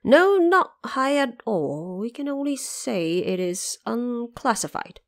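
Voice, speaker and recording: A woman voicing lowly stable officials in an audio drama, frank and deferential as they explain things. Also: sing-song, slow, heavily acted. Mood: matter-of-fact.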